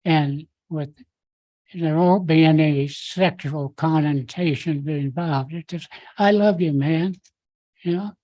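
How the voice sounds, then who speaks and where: Slow, rambling older man. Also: fairly high pitch, darkish tone, no echo, poor audio. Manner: halting, rambling, many fillers. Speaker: citizen in public comment. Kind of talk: rambling public comment.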